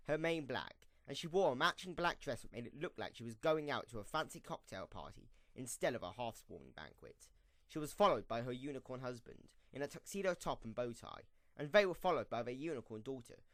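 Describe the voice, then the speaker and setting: A man's voice: medium pitch, very animated, a little fast, fairly bright tone, no echo, studio quality. A man reading scripted story narration for a fan audio drama.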